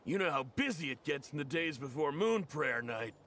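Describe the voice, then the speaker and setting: An actor playing a blustery, gruffly good-natured middle-aged craftsman in a video game. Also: on the high side, lively intonation, normal speed, a bit bright, a little hiss or hum. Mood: indignant, blustering.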